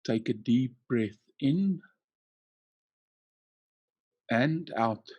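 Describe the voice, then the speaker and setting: A man leading a guided hypnotic relaxation as a coaching trainer, soothing and measured, leaving long pauses between short phrases. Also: mid-range voice, expressive, darkish tone, husky, no echo, lo-fi sound.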